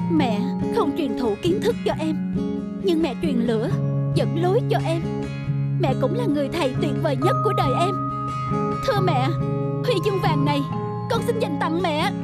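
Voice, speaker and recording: A woman's voice: extremely high pitch, expressive, normal speed, long pauses. A woman voicing an earnest, polite and grateful male student in a cartoon moral tale. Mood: heartfelt. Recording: loud guitar music behind.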